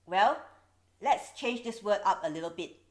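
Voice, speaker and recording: A woman in her forties from Singapore with a Singaporean English accent, explaining things in a measured, wry vlog. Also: medium pitch, lively intonation. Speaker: video host. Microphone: camera's built-in mic.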